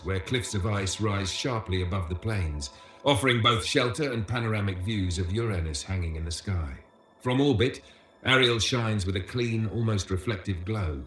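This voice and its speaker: A man giving scripted educational documentary narration as a tour guide, in a measured, solemn manner. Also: on the low side, fairly bright tone, echoey room, a little hiss or hum. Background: music.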